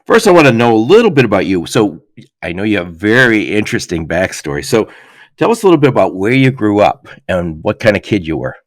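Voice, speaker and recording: A man hosting a podcast interview, telling stories in a lively way and laughing often. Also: on the low side, quick pace, dry recording, clean studio sound. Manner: animated storyteller, laughs often. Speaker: podcast host. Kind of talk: casual interview chat.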